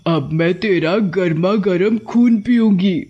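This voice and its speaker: An actor voicing a bloodthirsty female witch in a horror story, gloating and menacing with drawn-out lines. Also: medium pitch, dark tone, breathy edge, lo-fi sound. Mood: gloating menace. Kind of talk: Hindi animated horror storybook acting.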